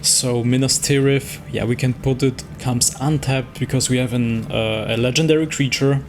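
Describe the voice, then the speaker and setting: A man giving casual gameplay commentary on a card game, thinking aloud as he weighs his moves a little hesitantly. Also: medium pitch. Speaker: host playing and commenting on a digital card game. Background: music.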